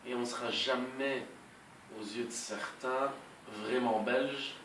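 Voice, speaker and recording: A young man from Belgium, answering interview questions in a calm, measured way with frequent hesitations. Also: medium pitch. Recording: echoey room, voice far from mic, noisy.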